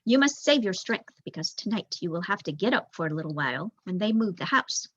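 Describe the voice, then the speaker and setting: A young woman voicing a worried, kindly mother field mouse in a storybook, gentle and motherly, on a dull recording. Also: mid-range voice, animated, brisk, dry recording. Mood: reassuring, motherly.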